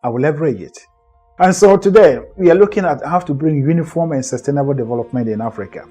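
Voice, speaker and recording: A man's voice: fairly high pitch, fast talker, dark tone, breathy edge, soft background music. A middle-aged man hosting a motivational talk, earnest and preacherly, often repeating and rephrasing his points. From Ghana. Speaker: host and co-founder of a mindset group. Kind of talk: motivational lecture to camera.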